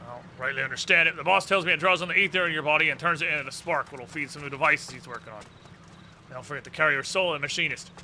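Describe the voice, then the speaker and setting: A man voicing a gruff, brisk gunsmith mentor from a fantasy game, reading the dialogue in salty colloquial turns of phrase. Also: fairly high pitch, sharp tone, a little hiss or hum, rough recording. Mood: brisk instructing. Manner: salty, colloquial, fast instructing.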